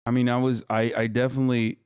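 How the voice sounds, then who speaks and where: A man's voice: medium pitch, level tone, fast talker, darkish tone, smooth, no room sound, clean studio sound. A man in his thirties streaming, reacting in a profane, incredulous, slangy way. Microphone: streaming desk mic.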